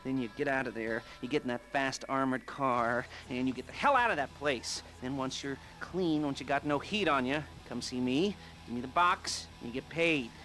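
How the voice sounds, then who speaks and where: An actor playing an awkward, creepy, nerdy middle-aged heist planner in a video game, talking in a halting, rambling way with nervous chuckles. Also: male voice, medium pitch, pushed and bright, faint music behind, natural voice. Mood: excited, leering. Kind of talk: video game cutscene acting.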